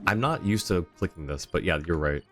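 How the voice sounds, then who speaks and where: A man hosting a casual game livestream. His voice is quite deep, and he speaks conversationally, reading viewer comments aloud and replying. Music plays faintly behind him. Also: animated, brisk.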